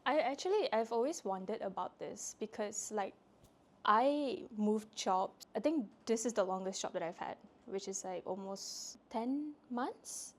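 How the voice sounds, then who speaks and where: A young woman in her late twenties hosting a casual talk show, asking questions and explaining herself in a lively, quick way. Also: mid-range voice. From Malaysia. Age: 20s. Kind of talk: casual chat.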